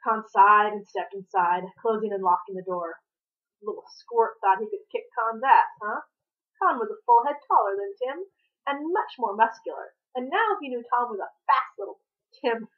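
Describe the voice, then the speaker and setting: A woman's voice: a little high, normal speed, phone-quality sound. A woman reading fiction aloud as a narrator, in the manner of an author reading her own novel. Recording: thin, band-limited home recording.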